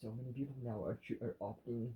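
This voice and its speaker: A man's voice: mid-range voice, fairly even intonation, quick pace, lo-fi sound. A man in a casual chat about religion with his wife, plainspoken, agreeable and blunt. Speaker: vlogger's husband, devotional reader.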